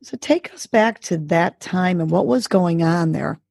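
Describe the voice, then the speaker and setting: A woman's voice: lowish voice, unhurried, creaky, a little mellow, breathy edge, dry recording, studio quality. A woman hosting an interview show, asking questions in an encouraging, effusive way. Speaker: host.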